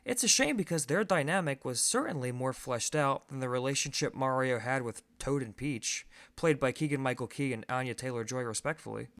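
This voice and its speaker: A man's voice: mid-range voice, a little fast, hardly any pauses, a bit bright. A man giving a scripted film review as host, conversational and opinionated, with emphatic stresses.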